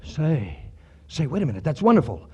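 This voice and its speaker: A man playing an excitable young millionaire heir in a 1940s radio comedy, brisk, eager and emphatic, on an old broadcast recording. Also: a little high, dull audio, a little hiss or hum. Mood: brisk, calculating. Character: excitable young millionaire heir racing to spend his fortune.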